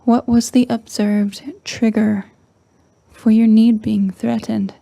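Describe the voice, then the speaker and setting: A woman leading a guided meditation in a soft, breathy, near-whispering voice, calm and gentle with long pauses. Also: a little high, no room sound. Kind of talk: slow guided meditation narration.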